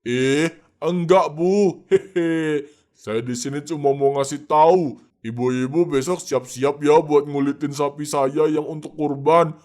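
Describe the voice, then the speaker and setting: A man's voice: on the high side, steady tone, slow, a little mellow. A man voicing a boastful, arrogant middle-aged village man in a cartoon, bragging and laughing at his own boasts. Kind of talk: cartoon voice acting.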